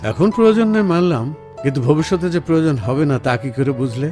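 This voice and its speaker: A man acting an affectionate, well-off husband in a radio play, talking tenderly and gently persuading his sensitive wife. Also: on the high side, lively intonation, fast talker, lightly acted. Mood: amused, reassuring. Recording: music under the dialogue. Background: background music.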